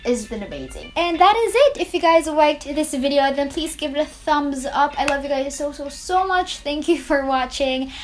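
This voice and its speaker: A woman's voice: sits high, animated, moderate pace, hardly any pauses, soft background music. A young woman from the Philippines chatting casually to camera, bubbly and gushing, with many fillers. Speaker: beauty vlogger.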